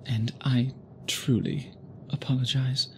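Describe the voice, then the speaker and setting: A man playing an obsessive, tender vampire suitor in an intimate ASMR roleplay, speaking in formal, gently doting phrases. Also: mid-range voice, flat delivery, takes it slowly, slight background noise. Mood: melancholy, earnest.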